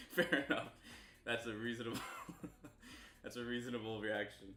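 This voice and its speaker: Excitable young Canadian streamer, bright voice. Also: male voice, medium pitch, a little slow, roomy sound, soft background music. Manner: loose and chatty, bursts of loud excitement. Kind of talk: casual stream chat with viewers.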